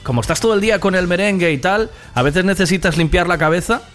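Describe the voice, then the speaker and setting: Man, radio host, chatty, quick back-channel replies, slightly high pitch, faint music. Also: a bit bright. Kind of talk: casual interview.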